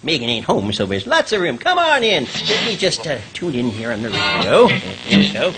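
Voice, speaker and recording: An actor playing a naive, excitable, simple-minded man in old-time radio comedy style. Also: on the high side, moderate pace, over music. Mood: excited.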